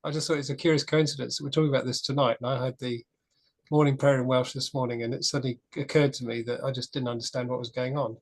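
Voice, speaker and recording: A man's voice: medium pitch, little pitch movement, quick pace. A man in a casual church discussion group, talking frankly and telling a story with restarts.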